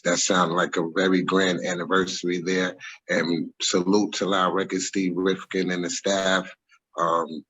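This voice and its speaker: A man, speaking on a video call as an interview guest. His voice sits very low and sounds husky. He reflects on the past at length, pausing with ums and often correcting himself. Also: sharp tone, poor audio. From New York, USA. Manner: rambling, reflective, frequent ums and self-corrections. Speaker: interview guest, former music manager. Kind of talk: casual storytelling interview. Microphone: video call webcam.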